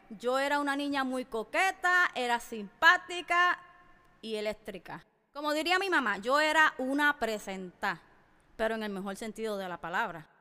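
Woman, Puerto Rican accent, fairly high pitch, crisp smooth voice, emphatic podcast host, long reverb. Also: bright, forceful tone. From Puerto Rico. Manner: emphatic, addresses listeners directly. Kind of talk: personal podcast monologue. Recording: long reverberant hall echo.

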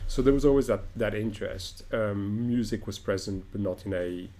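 A middle-aged man from Morocco with a fairly low voice talks in a reflective interview. He pauses, restarts and fills gaps with "um" as he gathers his thoughts. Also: warm tone. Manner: thoughtful, halting, many restarts and fillers. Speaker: opera director, guest.

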